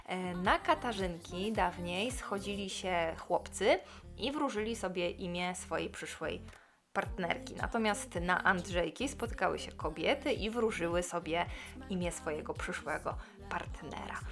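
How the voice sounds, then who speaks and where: A woman in her thirties, talking casually about cleaning her home in a relaxed voice-over with many fillers. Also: lowish voice, measured pace, faint music behind. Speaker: cleaning vlogger.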